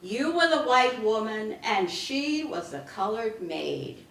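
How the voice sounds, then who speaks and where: Woman acting grieving defiant daughter, higher voice, slow biting delivery, sarcastic, staged reading, echoey room. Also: animated, creaky, fairly bright tone, heavily acted. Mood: angry, accusing. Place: indoor live reading at a public library. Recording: echoey room, picked up from a distance.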